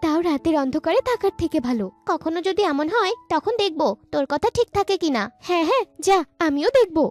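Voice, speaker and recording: A woman's voice: very high voice, animated, brisk, soft background music. A woman voicing a chatty, argumentative young village girl in a cartoon. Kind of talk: cartoon dialogue.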